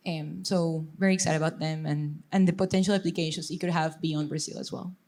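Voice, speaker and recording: A woman's voice: deep voice, steady tone, brisk, dark tone. A woman from Ecuador, a venture fund director, introducing herself fluently on a panel. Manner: fluent and composed.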